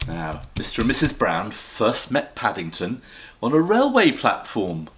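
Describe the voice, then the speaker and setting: A man's voice: on the low side, wildly swooping pitch, unhurried, fairly bright tone, a little hiss or hum. A man, a headmaster, reading a children's story expressively and addressing the listeners directly.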